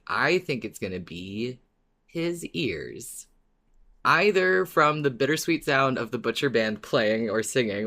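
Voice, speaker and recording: A man's voice: lowish voice, bright, forceful tone. A man co-hosting a fan podcast, chatting and recapping with playful, wry enthusiasm. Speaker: podcast co-host.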